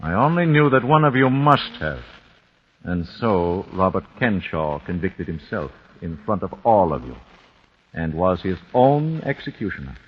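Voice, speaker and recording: A cold vengeful father, low-voiced. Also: big pitch swings, slight background noise. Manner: measured, menacing, precise. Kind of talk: 1940s radio acting. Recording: dull 1940s radio broadcast.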